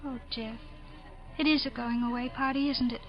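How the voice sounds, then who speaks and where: An actress playing an affectionate, spirited young American wife and lieutenant in a 1950s radio drama, coy and earnest. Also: female voice, a little high, steady tone, moderate pace, faint music behind, lightly acted. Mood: wistful. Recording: muffled old radio recording.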